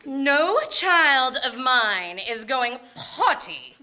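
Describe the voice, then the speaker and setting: A woman's voice: high-pitched, big pitch swings, very slow, sharp tone, full character voice. A woman playing a fussy, bossy wife and mother in an amateur old-time radio skit. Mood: exasperated, firm. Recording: noisy live room recording.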